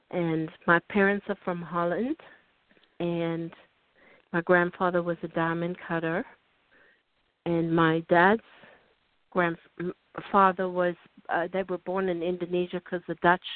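An older woman from South Africa with a South African accent, a guest author telling her life story in a radio interview, unhurried and pausing with ums and restarts. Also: fairly low pitch, no echo. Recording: dry tinny phone line.

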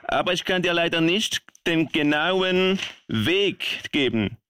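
A man's voice: on the high side, lively intonation, slow pace, fairly bright tone, a little hiss or hum. A man playing a solemn, urgent official sending a heroine on a quest in an amateur radio play, over music. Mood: grave, urgent.

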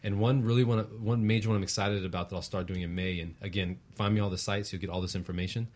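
A man's voice: deep voice, steady tone, fast, a little mellow. A man speaking as a talk show guest and workshop facilitator, casually explaining things.

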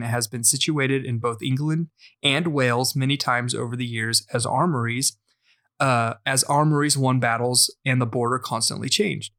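Male podcast host, informal and conversational, mid-pitched voice, stumbles a little when reading. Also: a bit bright. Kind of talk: casual podcast chat, reading out a listener message.

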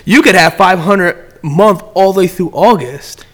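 A man's voice: sits high, unhurried, fairly bright tone. A man in casual podcast chat, giving business advice in a rambling way, often repeating words and restarting sentences.

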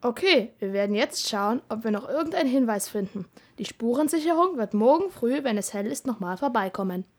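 A woman's voice: a little high, expressive, brisk, few pauses. A female amateur playing a sensible, businesslike police investigator in an audio drama, speaking in a matter-of-fact way. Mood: businesslike, dry.

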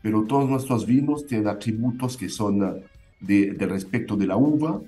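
Low, mellow man with a foreign accent. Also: normal speed, faint music behind. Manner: hesitant, many fillers and restarts. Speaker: winemaker guest. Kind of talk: interview answers.

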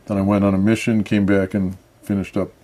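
An older man from Michigan, a guest telling his story in a measured, reflective way. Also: fairly low pitch, little pitch movement, mellow. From Michigan, USA. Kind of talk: casual interview chat.

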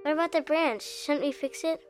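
A man's voice: very high-pitched, normal speed, faint music behind. A young boy actor playing a six-year-old boy, earnest and questioning, in an amateur family audio drama. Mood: sorry. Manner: questioning, whiny, innocent. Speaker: child actor.

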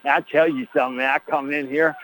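A man's voice: a little high, steady tone, fast, fairly bright tone, a little hiss or hum, lightly acted, poor audio. A man voicing a boastful rural good-old-boy cousin who rambles folksily on a radio sports broadcast, peppering every phrase with "man" and "baby". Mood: fired up. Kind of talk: comic character bit on a sports broadcast.

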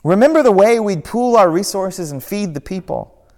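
A man voicing Jesus as a gentle yet firm man, speaking earnestly with a raised, pleading voice. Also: sits high, expressive, moderate pace, voice pushed up. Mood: impassioned. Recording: long echoey hall reverb.

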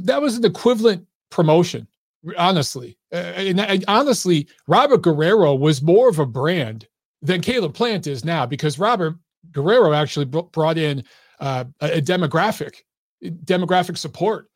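Man, hoarse, slightly high voice, casual livestream host, slangy and rambling, dry close sound. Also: lively intonation, moderate pace, no room sound. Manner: chatty, loose, slangy, with many fillers and restarts. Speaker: boxing commentary channel host.

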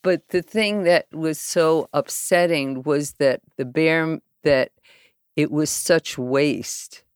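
A woman talks slowly in a low voice and muses her way through her ideas. She often trails off mid-thought. The recording is close and dry, with no room sound. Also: smooth, no room sound. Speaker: podcast guest. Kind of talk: casual conversation.

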